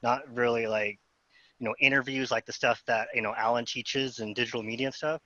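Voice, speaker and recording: A man's voice: mid-range voice, fairly even intonation, brisk, bright, forceful tone, no room sound. A man, a student, answering casually and hesitantly with self-deprecating filler over a muffled video call. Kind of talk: casual, unscripted answer in online class.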